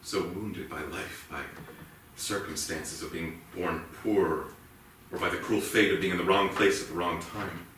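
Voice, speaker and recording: Haunted policeman, male actor, guilt-ridden and intense, low register, heard from afar in a reverberant theatre. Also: fairly even intonation, a bit bright. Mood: haunted, brooding. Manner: brooding, halting, emotionally intense. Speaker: actor in a staged reading. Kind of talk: dramatic theatrical monologue. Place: indoor theatre stage. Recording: echoey theatre, distant mic, faint noise.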